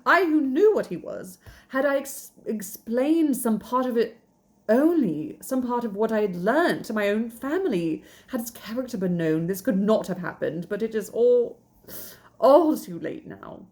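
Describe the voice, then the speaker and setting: A woman's voice: mid-range voice, moderate pace, mellow, natural voice. A woman reading the part of a distraught young woman whose sister has eloped, in an agitated, pleading way. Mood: agitated, despairing.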